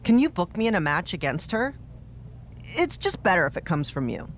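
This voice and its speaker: A woman's voice: fairly low pitch, sing-song, moderate pace, a little hiss or hum. A woman playing an easygoing veteran pro wrestler in a video game cutscene, wry and candid as she talks. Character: easygoing veteran female wrestler seeking a grudge match.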